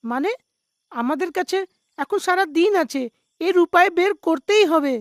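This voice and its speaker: Woman as high-voiced earnest young villager. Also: lively intonation, moderate pace, dry recording. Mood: determined. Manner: determined, questioning. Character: earnest young villager protecting their people. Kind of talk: animated story dialogue.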